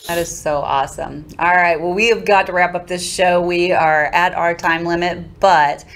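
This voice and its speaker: A woman's voice: lowish voice, steady tone, moderate pace, fairly bright tone. A middle-aged woman hosting a podcast, chatting enthusiastically with her guest. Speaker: podcast host. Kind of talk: casual interview chat. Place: home room with window, on video call. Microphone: studio mic on stand.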